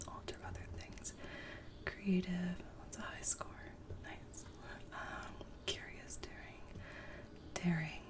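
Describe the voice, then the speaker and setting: Woman doing asmr doctor roleplay, all breathy whispers, gentle and unhurried, sounds a bit back from the mic. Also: mid-range voice, far from the mic. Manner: gentle, unhurried clinician murmuring lists and assessments. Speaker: ASMR performer playing a telehealth doctor.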